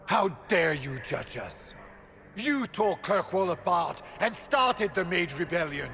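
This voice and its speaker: An actor playing a proud, noble male warrior in a video game, forceful and formal in delivery. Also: high voice, very animated, slow pace, vocal fry, slight background noise, heavily acted. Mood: indignant. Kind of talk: dramatic video game cutscene acting.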